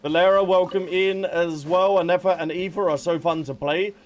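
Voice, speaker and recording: Higher-pitched man chatting on a game livestream. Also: steady tone, moderate pace. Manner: chatty, addresses viewers by name. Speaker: live streamer. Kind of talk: casual game livestream chat. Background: game music.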